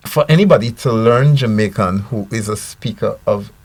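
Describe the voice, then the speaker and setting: A man's voice: fairly low pitch, moderate pace, darkish tone. An older man, a linguistics professor on a studio panel, who explains with worked examples, tag questions and frequent restarts. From Guyana. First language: Guyanese Creole.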